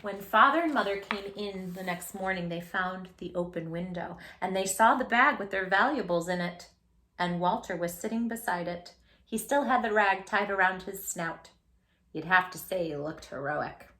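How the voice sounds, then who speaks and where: A woman reading a picture book aloud for children in an animated, playful way, talking directly to young listeners. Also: mid-range voice, normal speed, echoey room. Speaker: children's storytime host.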